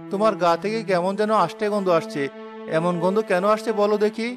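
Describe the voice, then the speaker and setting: A man's voice: high-pitched, steady tone, quick pace, over music, close to an everyday voice. A man voicing a mild, puzzled and suspicious village husband in a Bengali cartoon story. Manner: questioning, wondering aloud.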